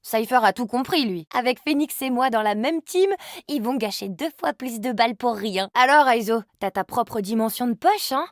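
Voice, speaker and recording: A woman's voice: on the high side, expressive, normal speed, dry recording, clean studio sound, close to an everyday voice. A woman voicing a cheeky young immortal agent in a video game, playful and sarcastic with casual, slangy delivery. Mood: playful, teasing. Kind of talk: video game character voice lines.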